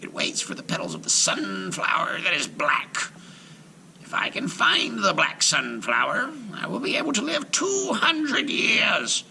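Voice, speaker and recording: A menacing old witch from a folktale, acted out by a man, pitched high with wild swoops and a sharp edge. She commands in long drawn words, dropping to harsh breathy whispers and rising to shrieks. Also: wildly swooping pitch, measured pace, a little hiss or hum, full character voice, poor audio. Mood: muttering, yearning.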